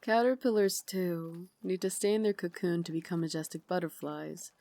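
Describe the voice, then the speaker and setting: Victorian vampire lady, female actor, ASMR roleplay. Refined, formal, caring soft dominance; slightly deep voice, very dry sound. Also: normal speed, no echo, natural voice. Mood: gentle, reflective. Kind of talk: ASMR roleplay, slow intimate scripted acting.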